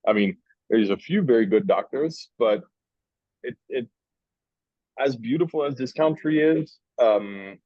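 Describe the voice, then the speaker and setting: A man from Switzerland, a guest at a lunch interview, telling a long story in a relaxed, rambling way with many restarts. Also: medium pitch, measured pace, long pauses, no echo, dull audio. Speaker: interview guest, cigar maker. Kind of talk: casual lunch chat.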